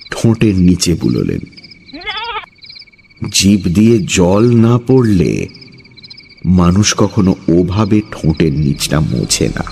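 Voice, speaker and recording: A man narrating a scripted horror story dramatically, with suspenseful pauses. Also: deep voice, vocal fry, mellow, slight background noise. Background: music.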